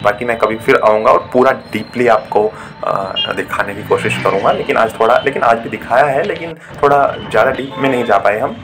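A man's voice: mid-range voice, brisk, creaky, few pauses, over music. A young man from India casually narrating a vlog as he walks through a shopping mall, talking to a phone camera. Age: young adult. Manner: chatty tour-guide talk mixing in English words. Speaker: vlogger. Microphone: phone camera held at arm's length.

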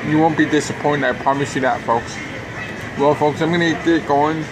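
Higher-voiced man vlogging in outdoor noise. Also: steady tone, normal speed. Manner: enthusiastic, talking between bites. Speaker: food review vlogger. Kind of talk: casual food review to camera. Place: outdoors at a theme park table. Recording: noisy outdoor recording with background hum.

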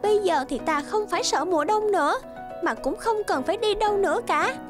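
A lazy, childlike swan from a cartoon fairy tale, speaks in a very high voice. The delivery is exclamatory and gushing, over clear background music. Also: female voice, animated, brisk. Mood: cozy delight. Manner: exclamatory, gushing and self-pitying. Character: lazy pink swan, childlike, gleeful then whiny. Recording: music under the voice.

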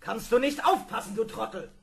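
High-voiced grumbling space pilot. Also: male voice, fairly quick, vocal fry, a bit bright, full character voice. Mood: exasperated. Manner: exasperated, blunt. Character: irritable, grumbling male space pilot bickering with his robot. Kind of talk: vintage German sci-fi radio play acting. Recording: noisy old radio play with ambience.

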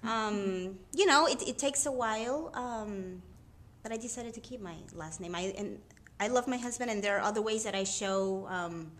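A woman from Peru chats as a guest, animated and easily amused. Her voice is mid-pitched, with faint room noise behind it. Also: moderate pace. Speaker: guest mom. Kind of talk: casual chat. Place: gallery shop indoors.

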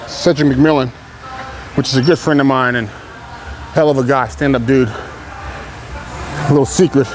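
A man's voice: medium pitch, steady tone, unhurried, slight background noise, low-quality recording. A man in his thirties, a pro bodybuilder, talking casually and candidly to the camera between sets in a large indoor gym, with loose, rambling phrasing. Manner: laid-back, candid, rambling with fillers. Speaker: professional bodybuilder. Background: music.

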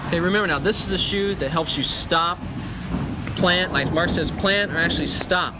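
A man's voice: high-pitched, moderate pace. Man explaining bowling grips, noisy tape. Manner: explanatory, repeats key points. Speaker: pro bowler instructor. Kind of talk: instructional demonstration. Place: indoor bowling alley.

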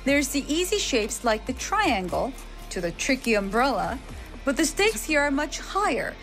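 News reporter woman, scripted narration, fairly high voice, music bed underneath. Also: big pitch swings, a bit bright. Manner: measured, scripted delivery.

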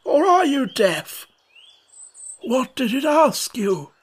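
A man voicing a very old frog servant who mutters in a hoarse, whispery, slow drawl for a storybook. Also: extremely high pitch, very animated, voice pushed up, heavily acted. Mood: grumbling.

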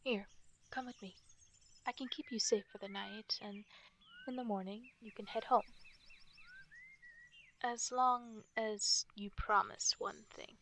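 A woman voicing a guarded, protective wolf fairy in a soft, halting, often whispered ASMR roleplay. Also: on the high side, steady tone. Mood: guarded, gentle. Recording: nature ambience and birdsong noise. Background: forest sounds, birdsong.

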